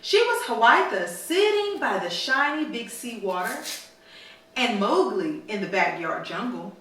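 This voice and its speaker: A woman reading a storybook aloud to children in a teacherly way, pausing to prompt them with questions. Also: on the high side, sing-song, a bit bright, a little hiss or hum. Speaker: mother reading a storybook to her daughters. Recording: very echoey room, slightly distant mic.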